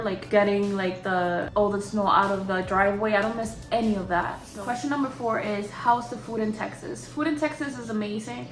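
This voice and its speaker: Chatty young woman with a Boston accent. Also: medium pitch. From Boston area. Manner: chatty, lots of "like" fillers. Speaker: vlogger. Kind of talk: casual Q&A vlog. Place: her apartment. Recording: roomy echo of a home room.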